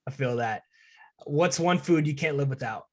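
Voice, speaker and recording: A man's voice: medium pitch, moderate pace. A young man interviewing a guest as a student podcast host, in a casual conversational style. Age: young adult.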